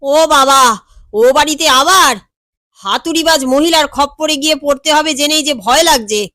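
An excitable male underling in a storybook reading, acted out by a woman. The voice sits raised, full of deferential questions and nervous exclamations, timid yet very nosy. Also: sits high, normal speed, no room sound, studio quality, pitched above the performer's own voice. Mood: alarmed.

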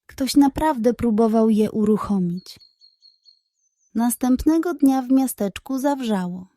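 A woman narrating a scripted bedtime story for children in an expressive, suspenseful way. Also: on the high side, a little slow, no room sound.

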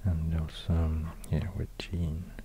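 A man with a Swedish accent speaking in soft ASMR whispers, halting and murmuring as he goes. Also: very deep voice, completely flat delivery, background noise. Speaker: ASMR video host. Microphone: close binaural microphone.

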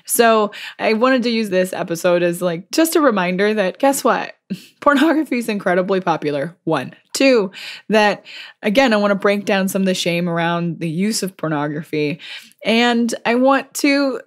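A woman hosting a solo podcast, her voice a bit hoarse from a cold, talking chattily and laughing often. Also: mid-range voice, lively intonation, normal speed. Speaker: podcast host.

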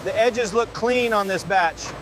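A man's voice: high-pitched, a little slow, background noise. A man directing factory work in scripted dialogue.

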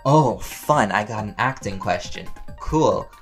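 A man chatting casually and playfully as he answers fan questions, rambling along in an expressive way. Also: on the low side, unhurried, soft background music. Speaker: voice actor and audio roleplay creator answering fan questions.

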